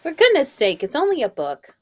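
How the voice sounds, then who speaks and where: Radio drama: woman as headstrong young lady, impatient, with lively swooping intonation. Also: high voice, a little fast, voice pushed up, full character voice. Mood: breezy, impatient. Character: headstrong, breezy young woman at a murder scene. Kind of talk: old-time radio mystery acting.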